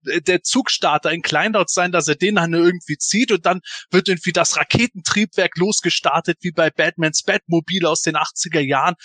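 A man's voice: fairly high pitch, sharp tone, dry recording. A man chatting casually as a podcast panelist, enthusiastic, rambling and anecdotal. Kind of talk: casual chat, fan commentary.

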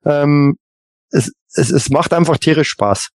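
Halting, higher-voiced man chatting on a podcast. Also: lively intonation, darkish tone, dry recording. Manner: halting, repeats words while thinking. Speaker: podcast panellist. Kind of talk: casual fan podcast chat.

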